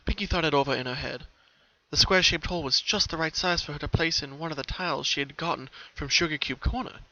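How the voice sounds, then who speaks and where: A man's voice: on the high side, fast talker, pushed and bright, no echo. A man reading a story aloud as a dramatic, scripted narration, on a slightly lo-fi home recording.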